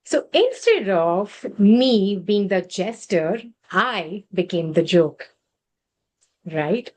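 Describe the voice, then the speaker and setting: A woman's voice: mid-range voice, very animated, drawn-out words, a little mellow. A woman in her thirties from Gujarat, India, telling a personal story to an online group in a chatty way that corrects itself as she goes. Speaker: speaker sharing a personal story.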